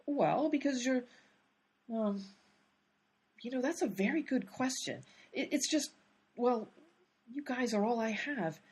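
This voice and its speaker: A woman acting as a determined but hapless lady detective in a radio comedy. Her high voice swings widely as she speaks in an emphatic, exasperated way. The tone has a husky edge. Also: animated, unhurried, pauses often, a little mellow, exaggerated voice. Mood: earnest, scheming. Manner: exclaiming, emphatic, easily sidetracked.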